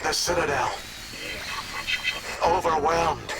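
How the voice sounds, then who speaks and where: A man playing a broken ancient alien recording in a sci-fi game, giving a doom-laden warning in halting fragments through a degraded transmission. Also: on the high side, very noisy. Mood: grim warning.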